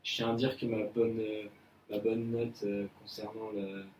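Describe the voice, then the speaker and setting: A young man plays a polite, earnest employee in an amateur office role-play, stumbling through his answers with fillers and repeats. His voice sits low and barely moves in pitch. It is recorded from a distance in an echoey room with a faint hiss behind it. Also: little pitch movement, a little slow, a little mellow, natural voice. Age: young adult. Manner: hesitant, many fillers and restarts. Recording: echoey room, mic far off, faint noise.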